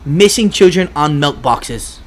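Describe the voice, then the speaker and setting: A man from Hawaii talking in a casual podcast chat, excitable and profane, rambling from one point to the next. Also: fairly high pitch, unhurried. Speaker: podcast guest.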